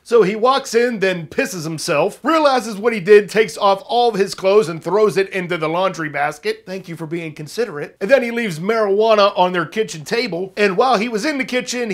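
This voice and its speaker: A man hosting an online news show, delivering casual, upbeat pop culture stories with emphatic delivery and wry, jokey asides. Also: high voice.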